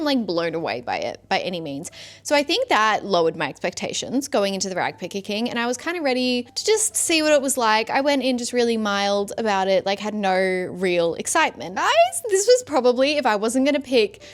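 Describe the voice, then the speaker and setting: A woman talking casually and effusively to her viewers as a vlogger, with emphatic, gushing delivery. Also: mid-range voice. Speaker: book vlogger and podcaster. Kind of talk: casual chatty book review to camera.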